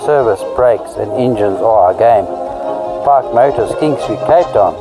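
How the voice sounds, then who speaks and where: An actor playing a salesmanlike pitchman reading a 1950s radio advert. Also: a little slow, over music, close to an everyday voice. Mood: polished sales pitch.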